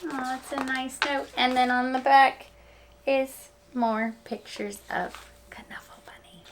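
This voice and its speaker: A woman teacher reading a picture book aloud to children, with animated emphasis and chatty explaining asides. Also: sits high, slow pace, a little hiss or hum.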